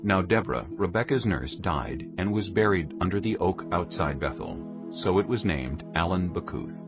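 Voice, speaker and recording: Low-voiced, expressive male scripture narrator. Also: moderate pace. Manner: solemn, storytelling. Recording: muffled audio under music. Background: music.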